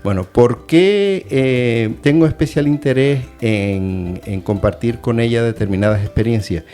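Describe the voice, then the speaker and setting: A man's voice: medium pitch, unhurried, mellow, faint music behind. A middle-aged man hosting an educational radio chat, talking in a hesitant, thoughtful way with many fillers. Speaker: radio host and adult education teacher.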